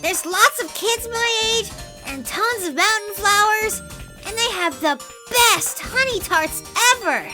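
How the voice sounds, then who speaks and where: A performer voicing an excitable, cheerful little boy bee in a bubbly, exclaiming way for a cartoon comic dub. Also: very high voice, a little slow, over music. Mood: excited. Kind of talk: comic book fan dub cartoon acting.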